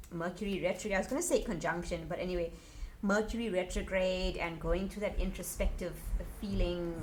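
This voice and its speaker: A woman giving a casual tarot reading to her viewers, chatty and earnest, her voice a little raspy. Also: fairly low pitch, a little hiss or hum. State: slightly raspy voice. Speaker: tarot reader.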